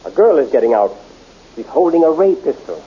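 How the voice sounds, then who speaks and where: A man's voice: a little high, big pitch swings, a little fast, slightly rough, lo-fi sound. A man playing a grave, cautious exiled prince in an old radio serial. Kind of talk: 1930s radio acting.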